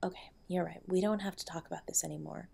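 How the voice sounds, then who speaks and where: A woman's voice: lowish voice, fairly even intonation, quick pace, creaky, a little mellow, slightly rough, heavily acted. A woman playing a tender, protective wife in an intimate audio roleplay, soothing and affectionate as she comforts her husband. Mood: soothing, caring.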